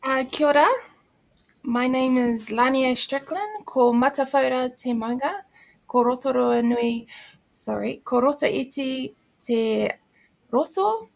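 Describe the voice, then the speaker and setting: A woman's voice: high voice, creaky. A middle-aged woman from New Zealand with a New Zealand accent, a native Māori speaker, introducing herself unhurriedly on a video call. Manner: unhurried, formal greeting in Māori then warm explanation. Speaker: school principal and book circle guest.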